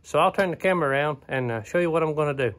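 A laid-back man in his thirties with a drawl and a voice pitched a touch high. He talks in a plain, folksy way, full of ums and uhs. The sound comes from a phone held at arm's length inside a wooden barn. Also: very fast, fairly bright tone. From Eastern Kentucky. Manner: easygoing, folksy, with many "um" and "uh" fillers. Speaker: homesteader vlogger. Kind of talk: casual DIY vlog talk. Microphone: phone camera at arm's length.

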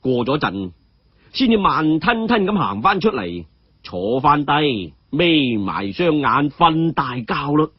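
Guy narrating a dramatic tale, a bit husky, muffled dry sound. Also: a little high, very animated, slow, no room sound. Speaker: storyteller.

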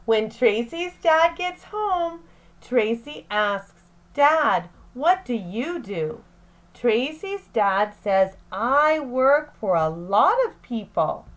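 Very slow-paced woman reading to children. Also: on the high side, very animated. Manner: deliberate, phrase-by-phrase delivery for young children. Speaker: teacher reading aloud. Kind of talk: slow, expressive children's read-aloud lesson.

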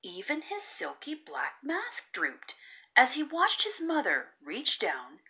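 A woman reading a children's picture book aloud as an expressive, careful storyteller. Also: high voice, slow.

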